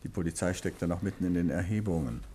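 An actor playing a courteous, unruffled gentleman detective and writer in a 1950s German radio play, measured and probing. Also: deep voice, a little hiss or hum, close to an everyday voice. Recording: 1950s radio drama recording.

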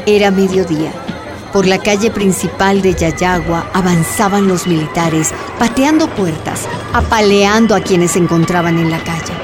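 A woman's voice: on the low side, moderate pace, a crowd in the background. A woman giving scripted dramatic narration for a radio drama. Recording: music bed under voice.

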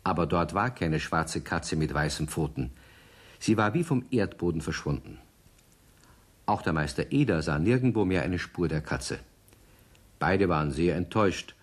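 A man narrating a children's radio play in a measured storytelling manner. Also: deep voice, animated, husky.

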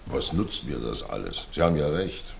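An aging former stage player in a radio drama. The voice sits deep, wry and self-mocking, with weary theatrical resignation. Faint noise lies behind it on the old recording. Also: male voice, big pitch swings, moderate pace, gravelly, a little mellow, full character voice. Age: older. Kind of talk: naturalistic radio drama acting.

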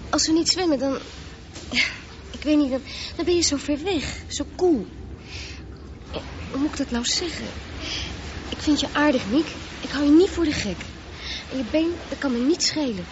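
High-pitched, tender, unsure teen girl for radio play, on a noisy recording. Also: expressive, normal speed, long pauses, highs cut off. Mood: hesitant, tender. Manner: hesitant, searching for words. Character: hesitant, affectionate teenage girl. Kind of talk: radio drama acting.

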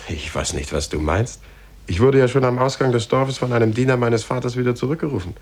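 An actor playing a concerned, puzzled cousin and confidant with a questioning manner, in a 1950s German radio drama. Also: male voice, medium pitch, a little fast, a little mellow, natural voice. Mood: defensive, puzzled.

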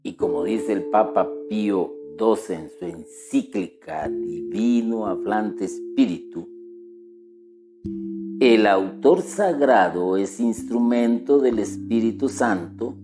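Slow steady guy explaining faith stuff, talks right to you, guitar playing behind. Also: lowish voice. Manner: slow, deliberate, speaks directly to the listener. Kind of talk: religious teaching talk. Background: plucked guitar music.

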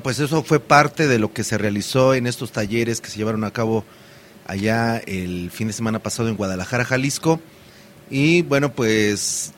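Brief: male radio host, mid-pitched, halting, filler-heavy delivery, faint background hiss. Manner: hesitant, many fillers and restarts. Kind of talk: community radio talk.

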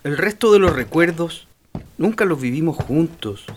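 An exasperated husband in a radio play, acted by a man, insistent and full of reproach. His pitch moves around in an animated way, and there is clear noise and clicking on the recording. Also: moderate pace, close to an everyday voice. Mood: weary, insistent. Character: impatient, exasperated husband, weary of family ghosts. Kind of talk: Spanish-language radio drama acting.

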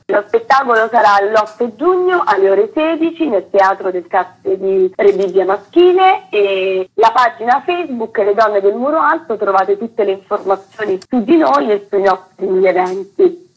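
Slightly husky woman giving an interview. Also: medium pitch, expressive, normal speed, poor audio. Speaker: theatre director, interview guest. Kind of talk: radio interview.

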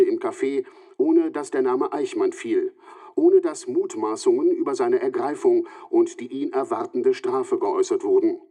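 Male newsreader played by an actor for a radio drama: mellow, mid-pitched, measured, formal scripted narration. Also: natural voice. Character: measured radio news reporter narrating a 1960s broadcast. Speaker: radio news presenter.

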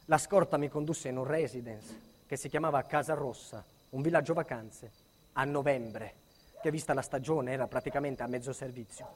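An actor playing a frightened, indignant protected witness in an intense theatrical monologue that moves between hushed and agitated. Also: male voice, mid-range voice, moderate pace, slight background noise, close to an everyday voice. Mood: hushed, brooding. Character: frightened, indignant male protected witness recounting his ordeal.

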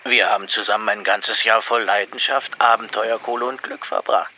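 An actor playing a crook on the run, pleading urgently with a former lover in a radio drama. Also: male voice, fairly low pitch, steady tone, moderate pace, sharp tone, slight background noise, poor audio.

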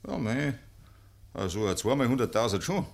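A man's voice: mid-range voice, expressive, normal speed, vocal fry. A man playing an eager, good-humoured suitor in a Bavarian dialect radio drama from the 1950s, coaxing and earnest. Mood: earnest courting.

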